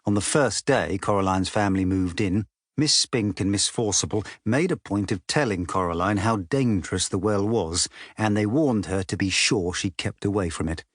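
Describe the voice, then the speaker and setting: A man's voice: fairly low pitch, normal speed, no room sound, clean studio sound. A man narrating a children's storybook as a scripted audiobook.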